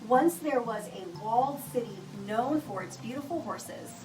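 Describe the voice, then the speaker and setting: A woman reading a children's picture book aloud with expressive, deliberate storytelling delivery. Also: a little high. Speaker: storybook reader. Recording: roomy echo, distant mic, music behind.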